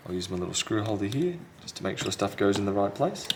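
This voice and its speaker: A man's voice: lowish voice, big pitch swings, quick pace, background noise. A man talking casually and thinking aloud as he presents a hands-on repair tutorial. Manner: unscripted, thinking aloud while working. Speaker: repair technician, video host. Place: indoors at a repair workbench.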